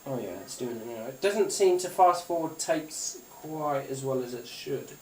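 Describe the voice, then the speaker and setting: A man's voice: medium pitch, lively intonation, moderate pace. Roomy, distant man, rambling and hesitant. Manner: rambling, hesitant, repeats phrases. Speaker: gadget review YouTuber. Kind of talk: casual unscripted product demonstration. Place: indoors at a tabletop, away from the mic. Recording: roomy, distant mic, faint hiss and clicks.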